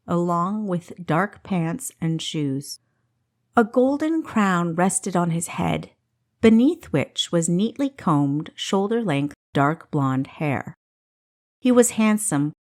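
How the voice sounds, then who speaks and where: Lady reading a fantasy audiobook, takes her time, lots of up and down in the voice. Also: lowish voice. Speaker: audiobook narrator. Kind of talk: scripted fantasy story narration.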